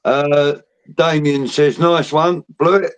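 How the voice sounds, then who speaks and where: A man hosting a casual fan show, reading out viewer comments in a relaxed way between jokes. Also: on the high side, slow, slight background noise. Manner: relaxed, reads out chat messages between jokes. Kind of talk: casual chat.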